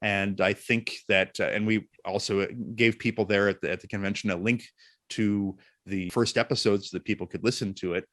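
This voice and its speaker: A man speaking as an interview guest and voice actor, telling stories in an expressive, jokey, self-deprecating way. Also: on the low side, moderate pace, no room sound, clean studio sound. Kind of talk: animated interview storytelling.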